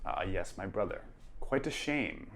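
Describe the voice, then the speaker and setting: A man's voice: low-pitched, animated, a little slow, a bit bright, a little hiss or hum. A man voicing a cool, polite, imperious visiting elven nobleman in a tabletop game, with formal courtesy. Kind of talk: in-character tabletop roleplay.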